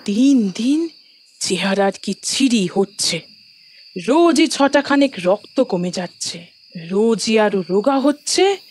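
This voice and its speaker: A very high, slow-spoken old maidservant in a horror audio drama. She is fretful and folksy, grumbling with devoted, superstitious worry. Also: animated, darkish tone. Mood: grumbling, worried. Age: older.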